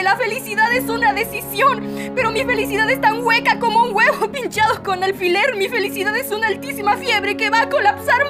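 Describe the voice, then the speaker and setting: Woman acting very high-pitched anguished young woman. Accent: Latin American. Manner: emotive, pleading delivery with dramatic pauses. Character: anguished young woman confessing her depression to her mother. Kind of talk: dramatic spoken-word poetry performance, fan dub.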